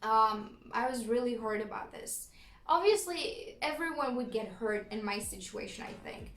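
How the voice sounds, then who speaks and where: High-voiced Romanian-accented woman, echoey. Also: lively intonation, moderate pace, a bit bright, a little hiss or hum. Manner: chatty, laughs often, frequent ums. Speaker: YouTuber. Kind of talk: casual storytime vlog.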